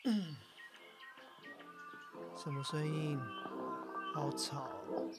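A man's voice: a little high, drawn-out words. A young man with a Taiwanese accent playing an impatient college student grandson in an everyday radio drama, speaking casually and expressively. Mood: bored, puzzled. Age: young adult. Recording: music bed behind the voice. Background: music.

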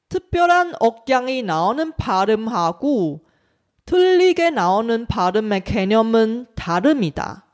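Female language teacher, Taiwanese accent, native Chinese speaker. Highish pitch, unhurried scripted delivery for an educational episode.

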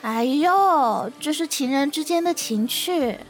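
A woman's voice: high voice, expressive, takes it slowly, faint music behind. A woman voicing a doting young woman in love, speaking in a cutesy way. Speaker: voice actor in an audio drama.

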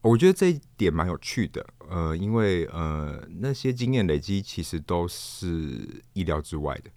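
A man with a Taiwanese accent answering casually as an interview guest, halting and repeating words as he thinks. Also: low-pitched, big pitch swings, mellow, no room sound. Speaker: interview guest, stage performer.

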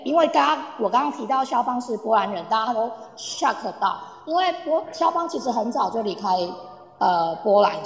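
Woman, Taiwanese Mandarin, casual explainer with English words dropped in; creaky voice, long reverb. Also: medium pitch. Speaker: guest presenter on Poland. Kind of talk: casual explanatory talk with audience. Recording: echoey, reverberant room sound.

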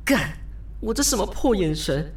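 A woman's voice: mid-range voice, sing-song, moderate pace, slightly rough. A woman with a Taiwanese Mandarin accent playing a flustered, self-mocking young woman in an audio drama, hesitant and polite aloud, blunt when muttering to herself. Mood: self-mocking exasperation. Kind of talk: audio drama acting with spoken inner monologue.

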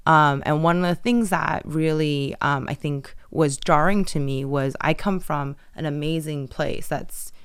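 A woman's voice: low voice, a little slow, hardly any pauses, smooth, no echo, studio quality. A woman from Taiwan, a brand co-founder, chatting in an interview with many fillers and restarts. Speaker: business owner guest. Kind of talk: casual interview chat.

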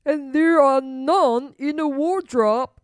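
Woman playing a slow, emphatic storybook king. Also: high voice, no echo, studio quality. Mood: exasperated, reassuring. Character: patient, firm storybook king, a doting father.

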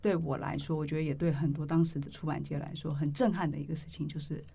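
Middle-aged Taiwanese woman with a creaky voice. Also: deep voice, flat delivery, quick pace, warm tone. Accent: Taiwanese Mandarin. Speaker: podcast host, publishing editor-in-chief. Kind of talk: conversational interview with reflective storytelling.

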